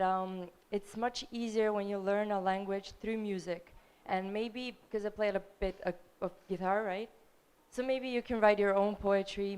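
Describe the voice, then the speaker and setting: A young woman with a Serbian accent, a native Serbian speaker, sharing her experience from the audience in a friendly, hesitant way with many pauses. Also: mid-range voice, a little mellow, clean tone. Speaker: audience member. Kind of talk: spontaneous audience comment. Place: lecture hall.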